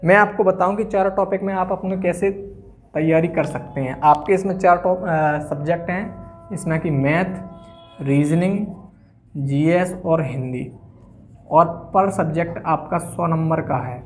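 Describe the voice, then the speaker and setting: A man's voice: fairly high pitch, unhurried, a little hiss or hum. A man giving an informal, instructional exam-coaching talk, emphatically repeating key phrases for stress.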